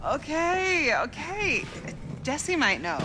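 Carefree hungover rocker mom, highish lively voice, lazy teasing drawl, game cutscene, noisy background. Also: female voice, slow pace, exaggerated voice. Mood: breezy, teasing. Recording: clear background noise and ambience.

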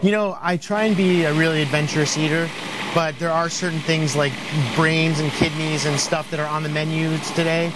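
A man in his late twenties, a chef guest chatting easygoingly through a cooking demo on a studio kitchen set, with a lapel mic and halting restarts. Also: on the high side, steady tone, very noisy. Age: late 20s.

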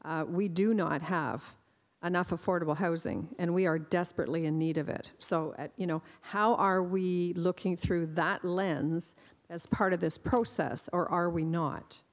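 A woman's voice: fairly low pitch, fairly even intonation, moderate pace, long reverb tail. A woman chairing a council committee, speaking firmly and pressing a point about housing. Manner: emphatic, firm. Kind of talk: council meeting remarks. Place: council chamber.

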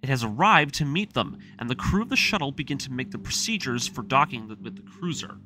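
A man's voice: medium pitch, lively intonation, fairly quick. A man hosting a video series, narrating a recap in a conversational, semi-scripted way. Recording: music playing behind the voice. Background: music.

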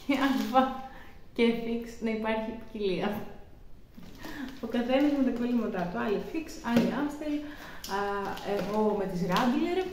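Young woman rambling through her grocery haul on the couch, echoey room, some hiss. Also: on the high side. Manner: chatty, rambling, shows each item. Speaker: vlogger. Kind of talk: casual grocery haul chat. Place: living room, seated on a sofa.

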